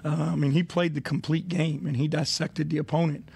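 Coach type, man in forties, gravelly, flat-toned, slightly high, measured answers on a desk mic. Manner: measured, many fillers, reflective. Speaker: head coach. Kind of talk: postgame press conference. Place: arena press room.

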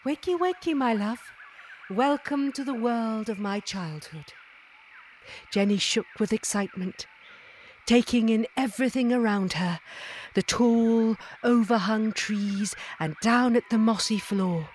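A woman playing a tender, dignified non-human lady who narrates her memories in a measured, literary way in an audio drama. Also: on the high side, darkish tone, a little hiss or hum, lightly acted. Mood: fond, unhurried.

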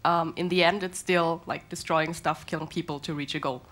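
A woman's voice: low voice, steady tone, moderate pace, fairly bright tone. Panelist, young woman, light and casual, frequent fillers. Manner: light, giggly, many ums. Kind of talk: conference panel remarks. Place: conference hall panel stage.

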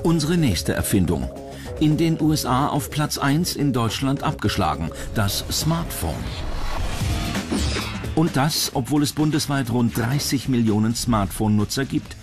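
A man narrates a television countdown documentary in a scripted delivery. His voice sits at a medium pitch, with music playing clearly behind him. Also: expressive, unhurried. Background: background music.

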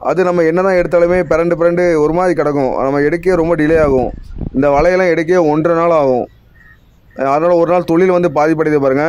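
A young man with a Tamil accent talks viewers through life as a deep-sea fisherman, fast and repetitive, turning to them often. His voice sits a little high, and the recording is dry and close with no room sound. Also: a little mellow, no echo. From India. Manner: explanatory, repetitive, addresses viewers directly. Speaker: fisherman vlogger. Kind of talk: explaining narration over footage.

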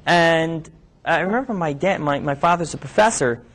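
A man's voice: on the high side, normal speed, fairly bright tone. Hesitant young male doctor in a classroom. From New York. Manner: conversational, hesitant, with many ums and restarts. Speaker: physician speaking to medical students. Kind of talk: informal talk with questions from the audience. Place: classroom lab, indoors.

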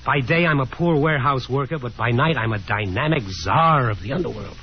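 A man's voice: mid-range voice, brisk, natural voice. An actor playing a restless, sardonic young man, a grown son, in a 1950s radio drama. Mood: bitter mockery. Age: young adult. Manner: sarcastic, dramatic. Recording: dull 1950s radio recording.

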